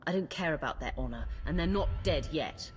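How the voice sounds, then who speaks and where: A firm Sith warrior, low voice, direct, faint game music. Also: female voice, lively intonation, a little fast. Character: stern female Sith warrior commander.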